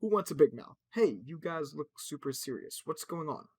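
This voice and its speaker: A man voicing a brash, joking male trickster in a loud, high-pitched voice. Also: expressive, moderate pace, slightly rough. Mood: mocking.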